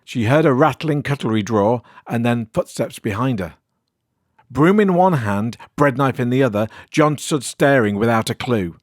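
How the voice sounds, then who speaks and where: A British man narrates a weird short story. His voice sits at a medium pitch and follows a written script. The recording is dry, with no room around it. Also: expressive, normal speed, no room sound.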